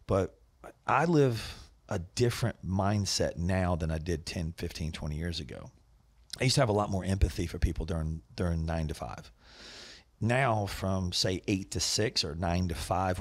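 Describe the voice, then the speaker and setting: A middle-aged man hosting a podcast in a studio set on a boom mic, talking casually and growing animated and emphatic as he makes his points. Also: breathy edge, dry recording. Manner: animated, gets loud and emphatic when making a point. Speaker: podcast host, business owner. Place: studio set with wooden walls and shelves. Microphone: boom mic with headphones.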